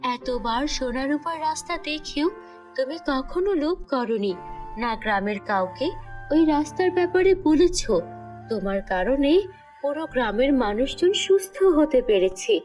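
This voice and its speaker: Woman voicing a stern righteous fairy, high-pitched. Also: lively intonation, a little slow, darkish tone, faint music behind. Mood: kind approval. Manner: scolding, solemn, declamatory. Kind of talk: children's cartoon fairy tale.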